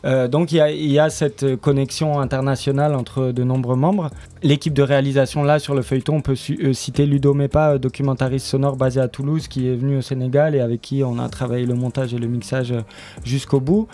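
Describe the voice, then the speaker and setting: A man being interviewed on radio as a drama writer, explaining his work in a halting, unscripted way. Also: mid-range voice, flat delivery, mellow. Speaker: guest, radio drama writer and studio member.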